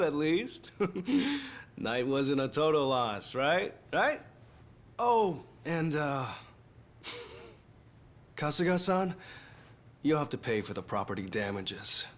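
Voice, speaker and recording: Slow-drawling, theatrical gloating villain. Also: male voice, on the high side, very animated, fairly bright tone, full character voice. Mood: mocking. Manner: taunting, mock-apologetic, swinging from murmurs to exclamations. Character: gloating, sadistic male gangster villain, theatrical and mocking. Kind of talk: dramatic video game cutscene acting. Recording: music under the voice.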